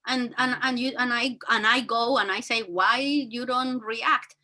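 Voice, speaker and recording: High-voiced woman giving earnest advice. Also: fairly even intonation, sharp tone. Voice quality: very high-pitched voice. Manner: earnest, halting with fillers and restarts. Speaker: guest speaker and teacher. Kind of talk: motivational talk.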